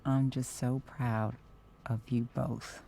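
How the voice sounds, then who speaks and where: A woman playing a tender, pleading middle-aged mother in a video game, soft and affectionate. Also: very deep voice, flat delivery, slow, creaky, a little hiss or hum, heavily acted. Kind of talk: emotional game cutscene acting.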